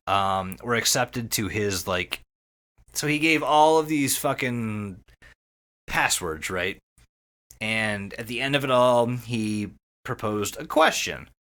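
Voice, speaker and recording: Male podcast host, animated pitch swings, casual sweary storyteller, enthusiastic about the material. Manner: sweary, enthusiastic, with repeated words. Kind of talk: casual storytelling recap of an internet horror story.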